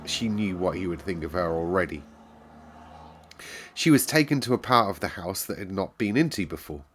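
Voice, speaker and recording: A man narrates a classic children's novel aloud, calm and measured. His voice sits on the low side, steady through each sentence. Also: a little hiss or hum. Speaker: storyteller.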